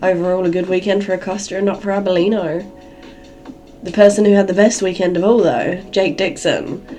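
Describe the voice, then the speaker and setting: A woman hosting a solo podcast, chatty and rambling, her voice gravelly and croaky from a cold. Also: lowish voice, fairly even intonation, a little fast, mellow, soft background music. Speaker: podcast host.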